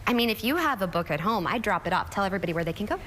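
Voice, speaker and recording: A woman's voice: medium pitch, expressive, rapid-fire, fairly bright tone. Effusive woman TV host in a reverberant hall. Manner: effusive, encouraging. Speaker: TV show host interviewing a guest. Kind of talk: upbeat morning TV interview. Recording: reverberant hall, some background noise.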